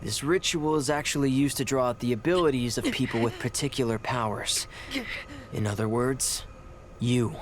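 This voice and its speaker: An actor playing a driven, scheming ritual caster in a fantasy video game, with a measured, assured, explanatory delivery. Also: male voice, medium pitch, close to an everyday voice. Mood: coldly revealing. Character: driven, scheming adult male ritual caster, outwardly composed. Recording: music under the voice. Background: game music.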